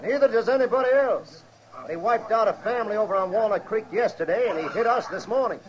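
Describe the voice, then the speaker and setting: A male actor plays a grim frontier lieutenant in an old radio drama. He speaks high and in clipped military fashion, worn by fighting. The recording is dull and dated. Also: quick pace, natural voice. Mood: grim, weary. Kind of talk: 1950s radio western acting.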